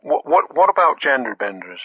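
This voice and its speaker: A stubborn, blundering caller argues on a comedy radio phone-in. He is mid-pitched, stammers and repeats himself, heard down a dull phone line. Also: big pitch swings, moderate pace, slightly rough. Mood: insistent.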